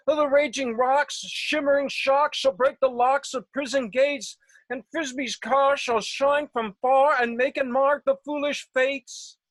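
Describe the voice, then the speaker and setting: A woman's voice: high-pitched, fairly even intonation, measured pace, no echo, rough recording. A woman playing a self-important, overeager male weaver in a Shakespeare read, declaiming theatrically. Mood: grandiose. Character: Nick Bottom, a bombastic, overeager male weaver and amateur actor. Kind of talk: Shakespeare table read over video call. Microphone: video call, close.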